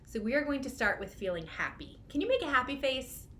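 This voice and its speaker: A woman with a fairly high voice speaks as a children's storytime host. She gives simple, encouraging prompts addressed to young listeners. The recording carries noticeable background noise. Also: big pitch swings, brisk, fairly bright tone. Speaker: children's librarian hosting storytime. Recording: clearly noisy room recording.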